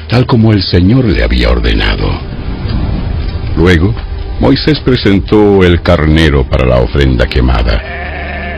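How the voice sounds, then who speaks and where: Deep, gravelly male Bible narrator. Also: a little mellow, muffled recording. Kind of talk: scripted dramatized Bible narration.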